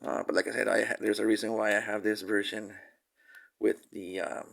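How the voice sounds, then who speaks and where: A man's voice: on the low side, little pitch movement, normal speed, gravelly, fairly bright tone. A man with a nasal voice from a cold, casually reviewing a toy figure with many fillers and restarts.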